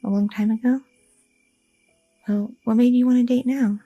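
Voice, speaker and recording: A woman voicing a sweet, anxious young goth girlfriend in an ASMR roleplay, talking softly and a little hesitantly, close to the mic. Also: a little high, steady tone, moderate pace, no room sound, dull audio, faint music behind, natural voice. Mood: tender. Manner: soft, affectionate, a little hesitant, with fillers.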